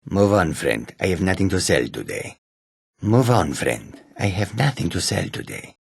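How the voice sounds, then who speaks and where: A proud, sly feline mage from a fantasy video game. The voice is deep and very raspy. The phrasing is formal and measured, and the recording is dry and close. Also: male voice, very animated, no echo, heavily acted. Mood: polite, reserved. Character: proud, sly male cat-person mage, speaks in third person. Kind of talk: video game character dialogue.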